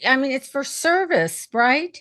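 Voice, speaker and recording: A woman's voice: high voice, big pitch swings, a little slow, fairly bright tone. A woman hosting a panel talk show, delivering commentary and discussion in an opinionated, emphatic way.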